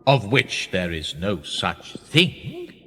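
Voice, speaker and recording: An actor in a radio play voicing a worldly, powerful establishment insider, speaking in a pedantic, suavely condescending way. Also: male voice, fairly low pitch, huge pitch swings, takes it slowly, fairly bright tone, slightly rough, full character voice. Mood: smug certainty. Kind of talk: radio drama dialogue. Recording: long reverberant hall, music underneath. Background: music.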